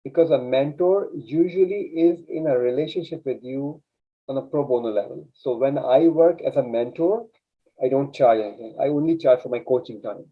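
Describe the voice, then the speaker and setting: A man's voice: mid-range voice, moderate pace, slightly rough. Earnest man with an Urdu first language. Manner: earnest storytelling with many restarts. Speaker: LinkedIn coach, guest. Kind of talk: casual interview chat. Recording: dull lo-fi sound.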